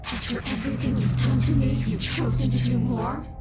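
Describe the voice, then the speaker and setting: A woman playing a ghost princess in a visual novel game. Her voice sits fairly high, deliberate and dramatic, carrying hurt and cold menace. Loud music plays behind her. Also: steady tone, lightly acted. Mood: pleading, despairing. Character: sorrowful, wounded ghost princess turning coldly vengeful.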